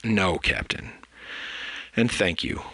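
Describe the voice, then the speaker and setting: A man's voice: sits low, animated, takes it slowly, bright, forceful tone, dry recording, full character voice, poor audio. A man playing a formal, reserved starship first officer in a science-fiction audio drama, speaking tersely. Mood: formal, restrained.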